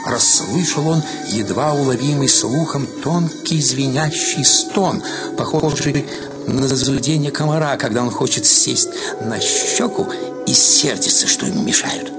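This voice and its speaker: A man's voice: medium pitch, a little slow. A man giving a dramatic literary reading as the narrator of a story, with music behind him. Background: loud music.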